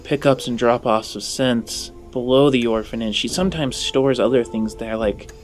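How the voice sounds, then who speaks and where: Man playing a halting, unsure orphan boy. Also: medium pitch, soft background music, natural voice. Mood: puzzled, hesitant. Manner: halting, hesitant. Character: young orphan boy, hesitant and wounded.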